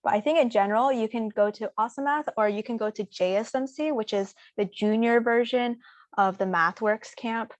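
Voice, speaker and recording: A young woman speaking informally as a student presenter, answering audience questions in a relaxed way with many "um"s and "like"s. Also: fairly high pitch, normal speed, dry recording. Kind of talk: casual Q&A seminar.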